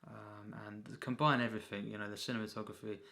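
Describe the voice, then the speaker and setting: A man giving an unscripted, rambling film review as a reviewer, in a halting, repetitive way full of filler phrases. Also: on the low side, flat delivery, vocal fry, pushed and bright.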